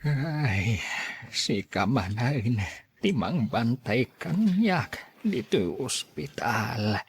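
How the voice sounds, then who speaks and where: A man playing a weary old grandfather in an Ilocano radio drama, halting and gently complaining. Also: mid-range voice, big pitch swings, exaggerated voice. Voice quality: husky, creaky.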